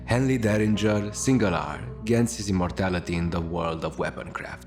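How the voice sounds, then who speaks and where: A man's voice: low voice, close to an everyday voice. A man playing a melancholic, world-weary radio host, delivering a brooding, wry confessional monologue in florid words. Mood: musing, wry. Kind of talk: scripted radio monologue, noir-like confessional narration. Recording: music under the voice. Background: guitar music.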